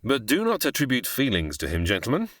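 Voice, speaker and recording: Formal, very animated scientist. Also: male voice, medium pitch, dry recording, clean studio sound. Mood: grave. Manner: formal, deliberate, commanding. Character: authoritative, formal scientist host, grown man. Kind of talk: 1950s sci-fi radio drama acting.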